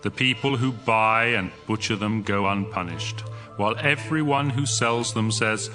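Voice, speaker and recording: An actor playing a stern God in a scripture audio drama, speaking in a solemn, deliberate, commanding manner. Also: male voice, on the low side, bright, forceful tone, faint music behind. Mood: stern, pitiless.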